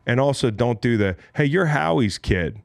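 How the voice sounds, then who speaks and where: A man's voice: medium pitch, lively intonation, normal speed, creaky, no room sound, clean studio sound. An American man around forty, bantering bluntly on a casual sports podcast as host, swearing freely as he tells stories.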